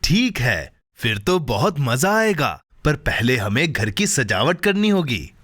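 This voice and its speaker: A man's voice: mid-range voice, very animated, slow, fairly bright tone, no echo, soft background music, exaggerated voice. A man voicing a friendly, upbeat companion in a children's cartoon, speaking encouragingly in simple phrases. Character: friendly, upbeat adult companion and contest announcer.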